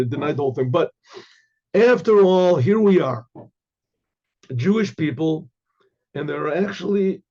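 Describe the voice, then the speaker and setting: An older hoarse man, a rabbi, giving a Torah class with halting restarts and frequent throat clearing. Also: a little high, darkish tone, lo-fi sound.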